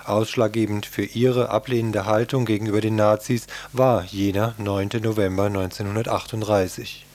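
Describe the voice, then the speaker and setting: A man's voice: fairly low pitch, little pitch movement, moderate pace, darkish tone. A man reading scripted documentary narration as a radio reporter, formal and sober.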